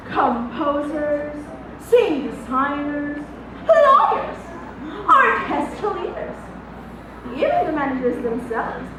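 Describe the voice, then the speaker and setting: Woman playing a high-voiced, grand, worldly stage actress. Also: heavily acted. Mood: amused, lofty. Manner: grand, deliberate, teasing, with dramatic pauses. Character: worldly, amused, self-assured stage actress lecturing a jealous wife. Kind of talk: theatrical one-act stage play acting. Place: large auditorium stage, distant microphone. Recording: echoey noisy auditorium from far off.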